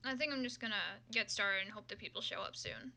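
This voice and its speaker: A woman livestreamer chatting casually and unscripted, hesitant and halting, often muttering to herself. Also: mid-range voice, pushed and bright.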